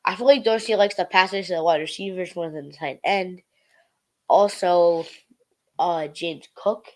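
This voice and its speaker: A female podcast co-host with a slightly low pitch for her sex. She gives casual football opinions in youthful phrasing, leaning on "like" and "definitely". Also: moderate pace, darkish tone. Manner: youthful filler-heavy phrasing, repeats "like" and "definitely".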